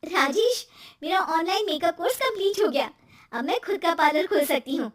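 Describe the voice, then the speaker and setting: A woman's voice: very high voice, animated, moderate pace, far from the mic, pitched above the performer's own voice. A woman voicing a cheerful, talkative, easily worried young wife in a cartoon moral story. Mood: happy.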